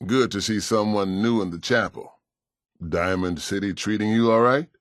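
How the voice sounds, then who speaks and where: An actor playing a kindly, world-weary pastor in a video game, with an American accent and a gentle, folksy, plain-spoken way of talking. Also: male voice, fairly low pitch, moderate pace, no room sound, clean studio sound, lightly acted. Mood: welcoming, earnest.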